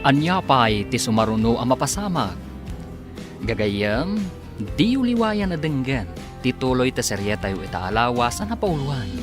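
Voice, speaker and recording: A man's voice: mid-range voice, sing-song, normal speed. A man narrating and announcing a radio serial in a dramatic, scripted style. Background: music bed.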